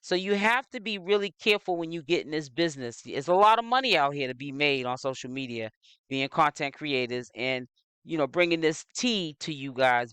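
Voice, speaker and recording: A man's voice: a little high, fairly bright tone, clean tone, no room sound. A man hosting his own commentary channel, delivering an opinionated gossip monologue in a preachy, admonishing way, repeating phrases for emphasis. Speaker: social media commentator, channel host.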